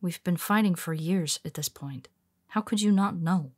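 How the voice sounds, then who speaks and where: A woman's voice: on the low side, moderate pace, a little mellow, husky. A woman playing a powerful, blunt but secretly vulnerable superhero in an intimate audio roleplay, speaking conversationally with hesitant asides. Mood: exasperated.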